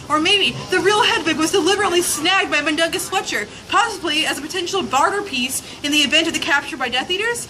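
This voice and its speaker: A woman giving a playful, mock-serious dramatic reading of a humorous essay. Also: high-pitched. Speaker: reader of a fan zine. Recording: noisy outdoor urban recording with traffic.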